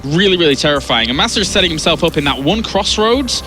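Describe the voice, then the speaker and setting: A man casting an esports match with quick, excited play-by-play. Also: on the high side. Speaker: esports caster. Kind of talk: play-by-play commentary.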